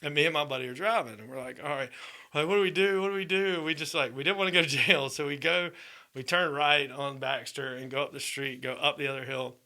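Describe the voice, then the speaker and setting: A man's voice: on the high side, fast talker, sharp tone. A man, telling a story in a rambling way with frequent laughs on a podcast. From Georgia, USA. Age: 30s. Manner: rambling, laughs often, restarts. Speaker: podcast co-host. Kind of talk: casual storytelling.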